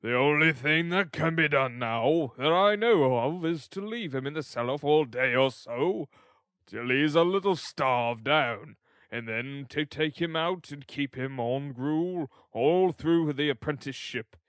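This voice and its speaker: A stern, self-important beadle from an old storybook, performed by a man. He is loud and declamatory, leaning heavily on his words with pompous emphasis. The recording is dry and close. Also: fairly high pitch, lively intonation, a little slow, dry recording. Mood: pompous, grave.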